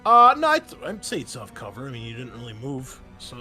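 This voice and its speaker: A relaxed man hosts and runs a tabletop game, explaining rules and narrating the action in a mid-range voice. He laughs easily and fills his pauses with ums and restarts. Faint music plays behind him. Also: mid-range voice. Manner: easygoing, laughs often, many ums and restarts. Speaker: game master and host. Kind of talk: running a tabletop game online.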